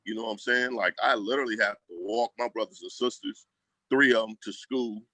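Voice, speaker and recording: A man with a fairly low, bright voice talks in a loose, conversational way. His speech is colloquial and slangy, and he voices quoted remarks as he tells his stories. The sound is dry, with very little room to it. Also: steady tone, fairly quick, dry recording, low-quality recording. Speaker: school program leader, guest. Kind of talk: casual conversational storytelling.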